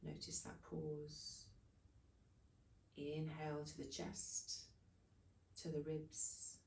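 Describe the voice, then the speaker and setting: A woman leading a guided breathing meditation, giving soothing, unhurried instructions in short phrases. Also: low voice, completely flat delivery, vocal fry, darkish tone, a little hiss or hum. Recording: echoey room, distant from microphone.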